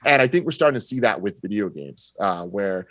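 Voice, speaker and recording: A man speaking as a podcast guest in casual, opinionated discussion, with an informal, halting delivery. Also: on the low side, expressive, pushed and bright, no echo.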